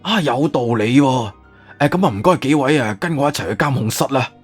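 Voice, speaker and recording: 一個男人用粵語有聲小說嘅演法，扮演一個慌張、囉嗦、急於安撫人嘅博物館館長，講嘢猶豫多口頭禪。. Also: male voice, medium pitch, lively intonation, fairly quick, slightly rough, soft background music. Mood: obliging. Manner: hesitant, filler-laden, long-winded. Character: flustered, wordy museum director, eager to placate. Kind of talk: Cantonese audiobook character acting.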